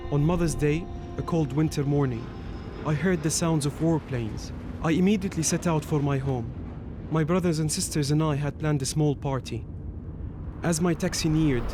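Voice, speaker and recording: Man acting as somber activist, slightly high voice, grave measured storytelling, radio diary narration, clear music bed. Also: lightly acted. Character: Syrian activist recounting life under occupation, somber. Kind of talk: scripted first-person narration, radio documentary.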